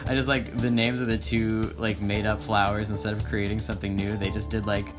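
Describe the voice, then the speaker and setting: A man's voice: lowish voice, flat delivery, fairly quick. A man reading a story aloud as voiceover, with informal, sweary and joking asides of commentary. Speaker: voiceover reader. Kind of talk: story narration with casual commentary. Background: music.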